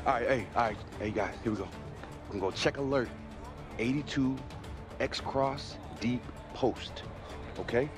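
A man's voice: medium pitch, normal speed, long pauses, over music, close to an everyday voice. A man playing an earnest, easygoing young rookie quarterback in a video game drama. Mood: focused. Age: young adult. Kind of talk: scripted game drama acting.

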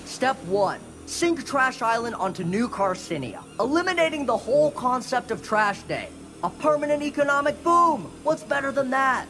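A man's voice: extremely high pitch, lively intonation, moderate pace, pushed and bright, slight background noise, exaggerated voice. A man voicing a smug, manic sea-creature businessman with a god complex in a game cutscene, in glib, boastful salesman patter. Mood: salesman excitement. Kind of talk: animated video game cutscene acting.

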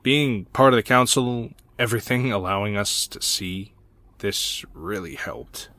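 A man playing an earnest, grateful council member in a sci-fi audio drama, speaking haltingly. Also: on the low side, bright, forceful tone, no room sound. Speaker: voice actor.

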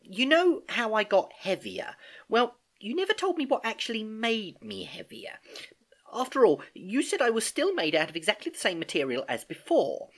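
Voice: medium pitch, big pitch swings, normal speed, voice pushed up. A storybook reader voicing an earnest, curious schoolgirl niece, eager and questioning, easily exasperated. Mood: earnest, puzzled.

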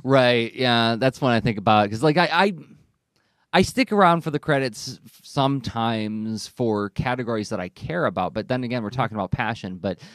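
A man in his forties hosting a casual talk show, speaking in an animated, emphatic way. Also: mid-range voice, normal speed, no echo, studio quality. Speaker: podcast host. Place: studio with a dark backdrop. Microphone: close broadcast mic on boom arm, headset.

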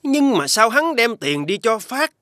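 An actor with a southern Vietnamese accent playing a vain, greedy, scheming rich man in an animated folktale, haughty and grumbling. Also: extremely high pitch, a little slow, no room sound, clean studio sound, heavily acted. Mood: envious questioning.

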